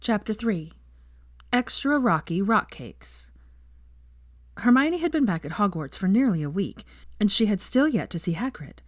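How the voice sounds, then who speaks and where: A woman's voice: medium pitch, big pitch swings, unhurried, dry recording. A woman reading fiction aloud as a scripted audiobook narration, recorded close to the microphone.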